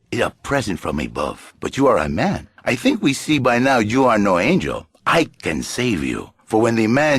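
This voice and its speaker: An actor playing a flamboyant, pompous Latin lothario with a Spanish accent and husky voice, theatrical and grandiose in broken English on satirical talk radio. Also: male voice, mid-range voice, fairly bright tone, no echo, studio quality. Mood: persuasive, sly. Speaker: radio talk show guest.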